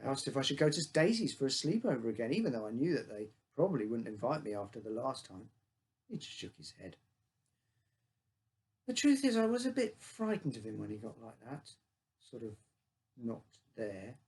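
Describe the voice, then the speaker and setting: A man's voice: mid-range voice, big pitch swings, moderate pace, darkish tone. A man with a British accent reading his own scripted short story, narrating in the first person as a child.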